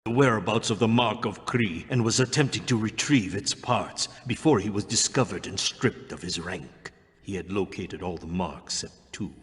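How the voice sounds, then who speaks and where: A man voicing a solemn spirit guide who chronicles past events in grave, measured, reflective scripted narration. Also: on the low side, slightly rough. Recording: loud music behind the voice.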